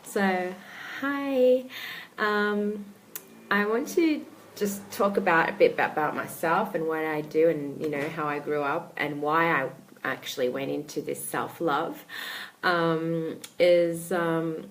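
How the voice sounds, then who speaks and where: An Australian woman, a life coach, talking casually to her followers in a live stream, with many pauses and fillers. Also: low-pitched, a little hiss or hum, rough recording. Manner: hesitant, many ums and restarts.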